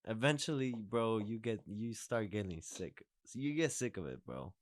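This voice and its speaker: A man's voice: on the low side, animated, moderate pace, no room sound. A man in his twenties hosting a podcast, chatting casually, rambling and restarting his sentences. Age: 20s. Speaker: podcast host. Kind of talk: casual chat.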